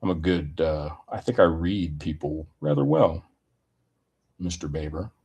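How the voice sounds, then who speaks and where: A man giving a rambling personal opinion monologue in a deliberate, halting manner with wordy, formal phrasing. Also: deep voice, lively intonation, vocal fry, darkish tone, breathy edge.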